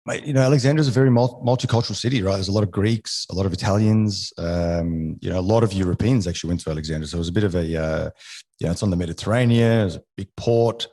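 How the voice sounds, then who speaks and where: Low-voiced fast-talking man in his forties. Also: dark tone. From Egypt. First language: French. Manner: frequent restarts, tags sentences with "right?". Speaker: podcast guest, entrepreneur. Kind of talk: casual interview conversation.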